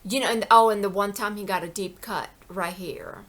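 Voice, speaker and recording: A woman's voice: mid-range voice, steady tone, normal speed, a bit bright. Woman, middle-aged, aggrieved monologue, rambling, repeats words, pleading. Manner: rambling, repeats herself, many "you know"s. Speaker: vlogger. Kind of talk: impassioned personal monologue.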